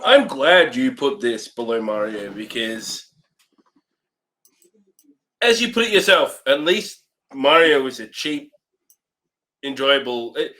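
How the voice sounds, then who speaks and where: A man's voice: mid-range voice, bright, forceful tone. A man co-hosting a casual movie review chat, speaking bluntly with some swearing. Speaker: review show co-host.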